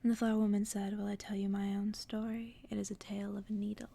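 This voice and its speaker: A woman reads softly in a hushed ASMR manner. Her voice is mellow and creaky, often slipping into a breathy whisper, and it stays soothing throughout. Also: mid-range voice, flat delivery, slight background noise. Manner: soft, whispery, slow and soothing delivery. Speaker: ASMR creator reading aloud. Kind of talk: ASMR bedtime story reading.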